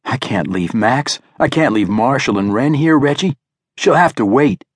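Man voicing a husky, desperate father in battle. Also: on the low side, moderate pace, no echo, clean studio sound. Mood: strained, worried. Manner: urgent, pleading, colloquial. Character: desperate, protective father fighting a zombie horde.

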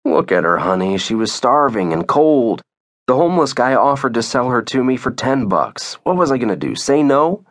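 Man acting an easygoing dad, medium-pitched, persuasive. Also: expressive, moderate pace, close to an everyday voice. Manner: coaxing, reassuring.

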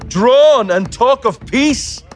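An actor in a Shakespearean audio drama playing a hot-blooded, hateful young swordsman, sneering with a gravelly voice and drawn-out words. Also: very high-pitched, expressive, faint music behind, full character voice. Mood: venomous.

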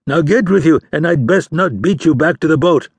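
A man voicing a gruff, bossy older fisherman with a put-on who barks orders. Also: fairly high pitch, moderate pace, no room sound, voice pushed up. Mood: commanding. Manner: barking orders, scolding.

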